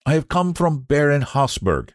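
Courtly old French gentleman, polite and formal, a raised, lively voice put on by a male reader; dry close sound. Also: a little high, normal speed, dry recording, voice pushed up. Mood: courteous. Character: elderly gentleman, French envoy.

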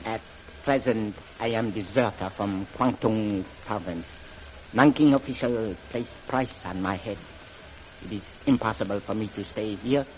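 An actor playing a guarded, courteous renegade general in an old radio serial, speaking in a formal, terse way. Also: male voice, fairly low pitch, measured pace, darkish tone, lightly acted. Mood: grave. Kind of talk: old-time radio adventure serial acting. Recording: muffled old radio recording, faint noise.

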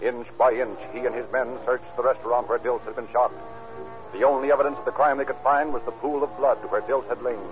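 An actor narrating a crime story in a serious, emphatic 1940s radio style. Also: male voice, medium pitch, fairly even intonation, a little fast, natural voice. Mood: grave, dramatic. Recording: tinny old radio recording. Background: dramatic music.

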